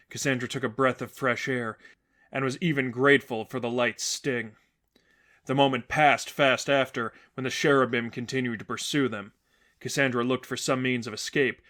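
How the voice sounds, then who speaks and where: A man with a medium-pitched voice narrates a piece of fiction. He reads from a script in a dramatic audiobook manner. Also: a bit bright. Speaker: narrator reading fan fiction.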